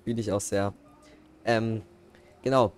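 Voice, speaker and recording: A man's voice: lowish voice, slow pace. A man hosting a farming game let's play, chatting casually and slangily with friends. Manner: relaxed, slangy, signs off the episode. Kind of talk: casual gaming chat with friends.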